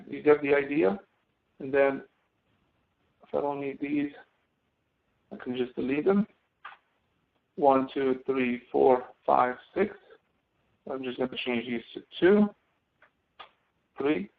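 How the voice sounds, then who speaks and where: A man teaching a live online software lesson, explaining step by step in a halting way as he demonstrates. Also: mid-range voice, creaky. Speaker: instructor. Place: online screen-share session. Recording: thin phone-like audio.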